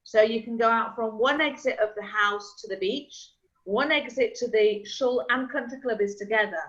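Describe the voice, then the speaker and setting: A middle-aged woman working as a real estate agent, chatting casually and explaining things with quick examples over a call. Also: fairly high pitch, a bit bright. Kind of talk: casual video call chat. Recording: slightly dull lo-fi call audio.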